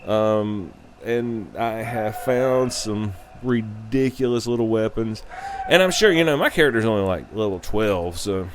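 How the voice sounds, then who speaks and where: A man giving a casual, rambling game review in a loose, halting, unscripted way. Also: fairly low pitch, noisy background.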